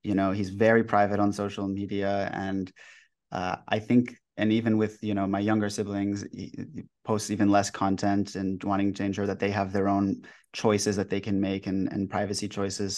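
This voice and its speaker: A young man in his twenties answers interview questions over a video call from a bright room at home. He talks in a relaxed, rambling way with many fillers and restarts, in a somewhat low voice. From United States. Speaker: guest author. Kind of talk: casual interview talk.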